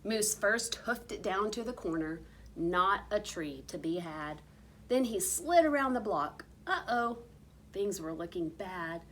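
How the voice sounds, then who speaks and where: Female storybook reader, unhurried and highly varied in pitch, with theatrical emphasis. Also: animated, slight background noise. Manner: theatrical, drawn-out emphasis on repeated words. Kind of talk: expressive picture-book read-aloud.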